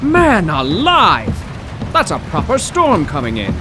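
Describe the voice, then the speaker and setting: An actor playing an excitable, bookish professor in a 1930s radio drama, theatrical and wordy with old-fashioned exclamations. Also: male voice, high-pitched, slow, exaggerated voice. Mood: exasperated. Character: excitable, bookish 1930s male poetry professor, stranded motorist. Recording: rain and road noise.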